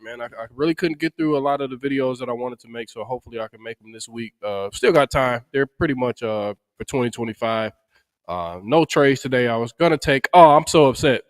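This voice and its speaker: A man from Detroit co-hosting a crypto livestream while sick and congested, speaking in a halting way with frequent stumbles. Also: mid-range voice, dry recording. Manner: halting, stumbles and repeats words. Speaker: crypto show co-host. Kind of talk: casual livestream chat.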